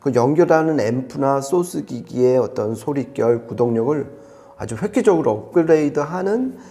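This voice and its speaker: A man's voice: medium pitch, expressive, moderate pace, mellow. A man explaining products conversationally as an audio reviewer, with an emphatic manner.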